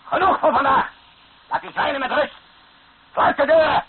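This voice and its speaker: An actor playing a brutal, domineering rebel colonel in a radio drama, barking commands. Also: male voice, very high voice, normal speed. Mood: barking orders. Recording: muffled lo-fi radio recording with hiss.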